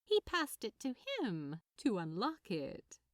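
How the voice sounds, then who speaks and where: Female fiction narrator, scripted and expressive, taking her time, very varied intonation, dry recording. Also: medium pitch, takes it slowly, no echo.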